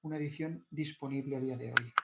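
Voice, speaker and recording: A man whose first language is Catalan gives a measured, devout explanatory talk, often pausing to correct himself. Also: medium pitch, fairly even intonation, gravelly, heavy background noise. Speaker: video lecturer. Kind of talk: explanatory talk on church history. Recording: dull lo-fi recording.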